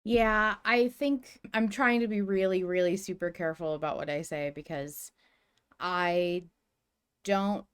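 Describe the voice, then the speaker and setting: A woman's voice: lowish voice, unhurried, clean tone. A woman hosting a podcast in a casual fan discussion, explaining things carefully and with emphasis. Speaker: podcast host.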